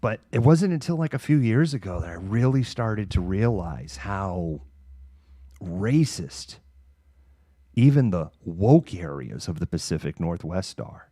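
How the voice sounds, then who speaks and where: A middle-aged American man with a very low voice talks informally and candidly, feeling his way through sentences as he reminisces. The sound is close and dry, like a host chatting on a livestream. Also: animated, a little slow, dark tone, slightly rough, no echo. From Massachusetts, United States. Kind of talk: casual monologue of personal reminiscence.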